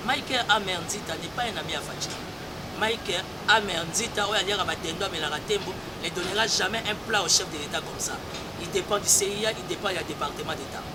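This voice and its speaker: A Congolese man with a notably high voice argues his point emphatically, hammering the same phrases again and again. Heavy traffic roars behind him on an outdoor street. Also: fast. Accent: Congolese French. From Congo-Kinshasa. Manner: emphatic, repeats key phrases, mixes in Lingala. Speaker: church spokesperson and political commentator. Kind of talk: heated political debate. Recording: loud street traffic noise.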